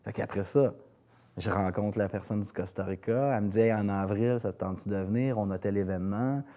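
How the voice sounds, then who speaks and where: A man with a Quebec French accent chats in an interview in a dark, relaxed voice that sits a little low. He tells things in anecdotes, circling back on words as he looks for the right phrase. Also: brisk. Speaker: singer-songwriter being interviewed. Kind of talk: casual interview conversation.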